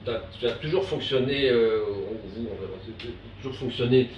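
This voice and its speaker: A man's voice: mid-range voice, normal speed, a little mellow, highs cut off. A man conducting a casual, chatty interview in a familiar, informal way, often interjecting. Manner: familiar, interjects and echoes answers. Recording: echoey room, slightly distant, faint noise.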